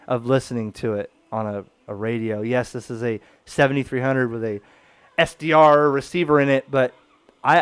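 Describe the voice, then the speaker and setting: Clear, dry-voiced man reflecting wistfully at a home radio desk, relaxed. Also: mid-range voice, no room sound. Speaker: ham radio channel host. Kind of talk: casual video commentary.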